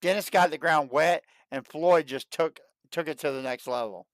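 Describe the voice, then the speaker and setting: Excitable man in his thirties, smooth voice. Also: a little high, normal speed, dry recording. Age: 30s. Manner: excitable, repeats himself. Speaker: livestream host. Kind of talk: casual chat.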